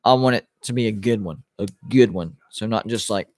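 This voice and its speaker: A man's voice: fairly low pitch, a little fast, no room sound, clean studio sound. Rambling thirty-something man on a live stream. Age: 30s. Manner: rambling, restarts, lots of "like" and "you know". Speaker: sports YouTuber hosting a live watch party. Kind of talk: casual live-stream chat, reading viewer comments.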